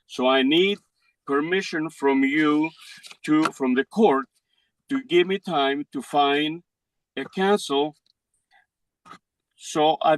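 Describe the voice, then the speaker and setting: An older man with a Greek accent, Greek his first language, pleading his case to a judge in halting, broken English. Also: on the high side, dry recording, rough recording. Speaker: defendant in court. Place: courtroom.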